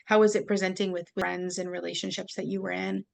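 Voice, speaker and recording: A woman's voice: fairly low pitch, little pitch movement, brisk, creaky. A woman hosting a podcast interview, affirming her guest with empathy and asking follow-up questions. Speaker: podcast host.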